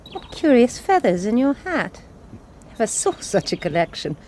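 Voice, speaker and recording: A firm, composed nun. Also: female voice, a little high, very animated, moderate pace, noisy background. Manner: crisp, firm, polite. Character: composed young nun leading a mission. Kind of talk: radio drama acting.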